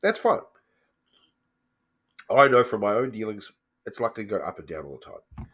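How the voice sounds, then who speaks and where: A man with a New Zealand accent talks in a somewhat low voice that is slightly croaky from a cold. His delivery is loose and chatty, full of ums and quick corrections as he points things out on screen. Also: big pitch swings, a bit bright. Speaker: personal finance vlogger. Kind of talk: casual screen-share walkthrough.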